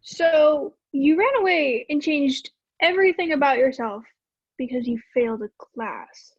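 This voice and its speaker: A woman's voice: high voice, lively intonation, unhurried. A young woman acting in a play as a blunt young questioner who presses for answers. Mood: skeptical. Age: young adult. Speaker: student actor in a school play. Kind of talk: one-act play acting. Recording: tinny phone-like line.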